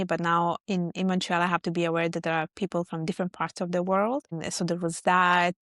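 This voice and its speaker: A woman's voice: lowish voice, no echo, studio quality. A woman in her thirties from Italy, a guest chatting easily about her life in a podcast interview. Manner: friendly, chatty, lists things out. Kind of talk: casual interview chat.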